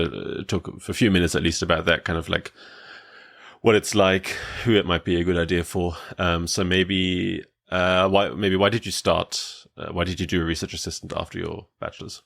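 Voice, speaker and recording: Deep flat-voiced man, gravelly. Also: a little fast. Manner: hesitant, many fillers and "like". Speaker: podcast host. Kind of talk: casual interview talk.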